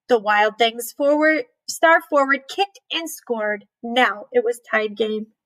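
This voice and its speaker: Slow, high-voiced woman reading to children. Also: fairly bright tone, poor audio. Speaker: read-aloud storyteller, teacher. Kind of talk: children's picture book read-aloud.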